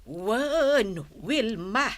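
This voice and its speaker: A woman's voice: a little high, animated, very slow. An actress playing a motherly shopkeeper who gives patient, emphatic advice in a comic radio drama, with a Filipino accent. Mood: advising. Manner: advising, emphatic. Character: motherly woman shopkeeper giving patient counsel on keeping peace.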